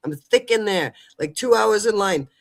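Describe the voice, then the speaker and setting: A man's voice: high voice, expressive, normal speed. A man hosting a call-in show in casual, colloquial chat, often checking that listeners follow. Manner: colloquial, chatty, seeks agreement. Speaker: advice call-in show host.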